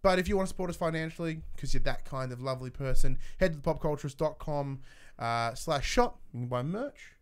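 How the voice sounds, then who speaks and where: A man's voice: fairly high pitch, animated, fairly quick, clear, resonant tone. A man hosting a casual livestreamed film chat, rambling informally and often restarting his sentences. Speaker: podcast host.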